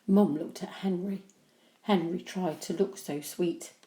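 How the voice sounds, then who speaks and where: A woman reading a children's story aloud with animated, deliberate, expressive narration. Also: on the low side. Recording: echoey room.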